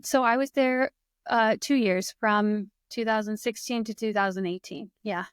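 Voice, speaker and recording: Young female guest, Midwestern American accent, chatty and upbeat; smooth clear voice, dry close mic. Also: mid-range voice, normal speed, no echo. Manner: enthusiastic, laughs often, chatty. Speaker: guest, English teacher. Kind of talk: casual conversation.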